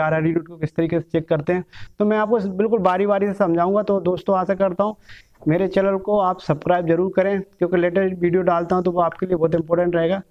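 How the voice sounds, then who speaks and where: A man in his thirties teaching bike mechanics, explaining step by step while handling engine parts in a small room. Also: high-pitched. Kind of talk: hands-on workshop lesson. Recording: dull lo-fi sound.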